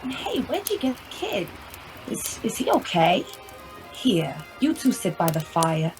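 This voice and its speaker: A woman's voice: medium pitch, huge pitch swings, measured pace, darkish tone, soft background music. A woman in an audio play acting a caring, resourceful wife who fusses kindly over everyone. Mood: worried, fussing. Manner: fussing, kindly.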